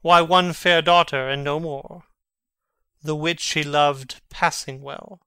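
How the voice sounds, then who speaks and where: A man acts a young prince in a Shakespearean drama, witty and mocking as he feigns madness. His voice is highish, very bright and clean, recorded close and dry. The delivery is theatrical, with a sly, sardonic edge. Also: steady tone, slow pace, clean tone, no echo, exaggerated voice. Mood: teasing. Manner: theatrical, teasing, archly sardonic.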